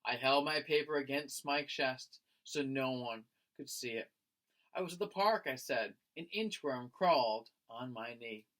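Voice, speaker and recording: A man's voice: medium pitch, a little slow, few pauses, fairly bright tone, rough recording. A man reading a children's picture book aloud like a teacher, expressively and with friendly address to young listeners. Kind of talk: animated children's read-aloud.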